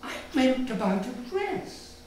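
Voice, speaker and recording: A man's voice: very high voice, big pitch swings, takes it slowly, darkish tone, heavily acted. An older man voicing a timid, hopeful young child who speaks hesitantly and wistfully in a storybook reading. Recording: echoey hall from far off, some noise.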